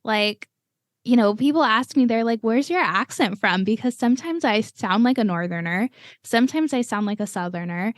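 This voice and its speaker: A woman's voice: fairly high pitch, lively intonation, normal speed, no echo, clean studio sound. A woman hosting a podcast interview, talking in a chatty, rambling, upbeat way with lots of fillers. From United States. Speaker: podcast host. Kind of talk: casual interview chat.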